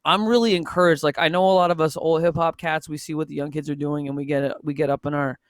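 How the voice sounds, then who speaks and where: Earnest Toronto producer in his forties, smooth and fairly high, dry close sound. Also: fairly even intonation, quick pace, dry recording. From Toronto, Canada. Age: 40s. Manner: earnest, reflective, restarts often. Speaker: music producer guest. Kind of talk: casual podcast chat.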